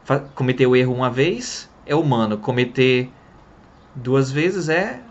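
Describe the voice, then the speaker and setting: A young man from Brazil explains language learning straight to the camera in a lively, sing-song way. His voice has a middling pitch that swoops up and down with emphasis. The sound is a little dull and lo-fi, as from a webcam in a small room. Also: a little slow. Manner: lively, talks with his hands. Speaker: English teacher. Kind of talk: casual spoken lesson to camera. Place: small room in front of a printed wall.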